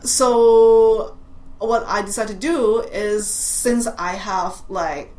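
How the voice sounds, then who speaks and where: A woman's voice: mid-range voice, drawn-out words, a little mellow. A woman in her forties from Hong Kong, with a Cantonese accent that carries traces of British and American English, talking as an artist guest in an interview and often stopping to restart her sentences. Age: 40s. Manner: hesitant, many restarts and fillers. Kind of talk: conversational interview. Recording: echoey room, faint noise.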